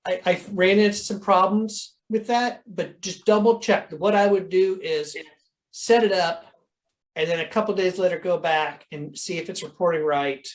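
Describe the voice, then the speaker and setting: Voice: medium pitch, steady tone, moderate pace. An instructor explaining things informally in a question-and-answer coaching session over a video call, in a conversational way that often qualifies itself.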